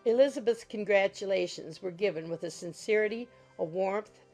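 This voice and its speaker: A woman narrating a classic novel in a measured, literary storytelling manner. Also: medium pitch, a little mellow. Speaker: audiobook narrator. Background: background music.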